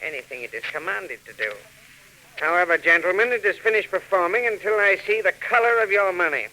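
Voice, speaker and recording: An actor playing a shrewd, mercenary villain in a 1940s radio drama, speaking in a precise, theatrical, imperious way. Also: male voice, high voice, expressive, fairly quick, sharp tone, heavily acted. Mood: cold, commanding. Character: shrewd, mercenary male villain selling a stolen robot. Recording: noisy old 1940s radio recording.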